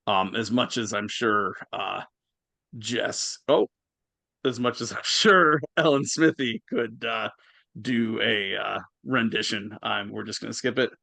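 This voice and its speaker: A man's voice: on the low side, unhurried, gravelly, bright, forceful tone, no room sound. A man hosting a live reading, rambling and full of fillers as he explains what gets skipped. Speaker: host and narrator of a reading. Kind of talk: casual explaining between scenes. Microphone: video call.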